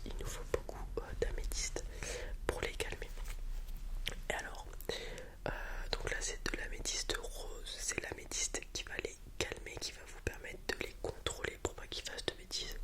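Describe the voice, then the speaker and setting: A person whispering an improvised ASMR roleplay as a calm dragon breeder advising a customer, with a hesitant, soft way of explaining. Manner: hesitant, improvised explanations, softly addressing the listener.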